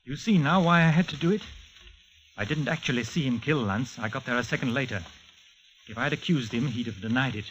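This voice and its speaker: An actor playing an intense, scheming protector in a 1940s radio spy mystery. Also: male voice, mid-range voice, fairly quick, a bit bright, lightly acted. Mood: intense, explaining. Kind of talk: 1940s radio drama acting. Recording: muffled, faintly hissy old radio recording.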